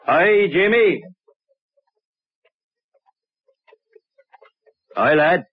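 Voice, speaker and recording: A man playing a sly, murderous lower-class cobbler in a 1950s radio drama, folksy and coaxing, repeating himself. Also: animated, slow pace, slight background noise. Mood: sly, jovial. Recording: muffled old radio recording.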